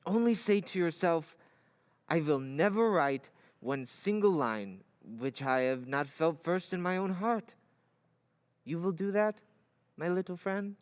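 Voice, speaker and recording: An actor playing a gentle, earnest foreign professor with a European accent in an old-time radio drama, speaking formally and haltingly. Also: male voice, sits high, expressive, no room sound. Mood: earnest, urging.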